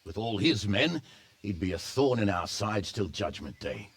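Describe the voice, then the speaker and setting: An actor playing a practical, resolute medieval lord in a video game, speaking in a measured, pragmatic way. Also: male voice, fairly low pitch, sing-song. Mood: grave, reasoning. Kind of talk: dramatic video game acting.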